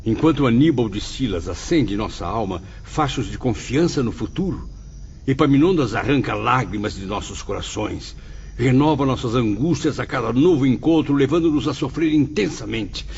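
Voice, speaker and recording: A man's voice: medium pitch, moderate pace, gravelly, a little hiss or hum. A man playing a remorseful male spirit student in a dramatized audiobook, speaking solemn, formal Portuguese. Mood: anguished. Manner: solemn, expressive, formal diction. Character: anguished, remorseful male spirit student recounting his trials. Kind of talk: dramatized audiobook narration and dialogue. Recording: dull lo-fi audio under music.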